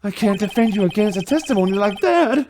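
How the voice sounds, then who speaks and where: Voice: medium pitch, expressive, a little fast, background noise, voice pushed up, lightly acted. A performer voicing a nervous, easily flustered young male defense lawyer in a video game dramatic reading. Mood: flustered, pleading.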